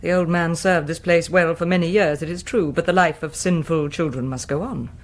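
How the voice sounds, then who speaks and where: A woman's voice: on the low side, steady tone, a little fast, close to an everyday voice. Actress as a troubled, imperious Mother Superior. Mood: solemn authority. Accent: British. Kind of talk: radio drama acting.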